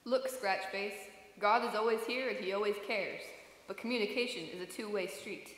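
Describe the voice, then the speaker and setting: A woman playing a wry, deadpan female private eye narrating her case in a live old-time radio drama. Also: mid-range voice, moderate pace, close to an everyday voice. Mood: earnest, wry. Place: church sanctuary stage. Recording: roomy church hall echo, noisy.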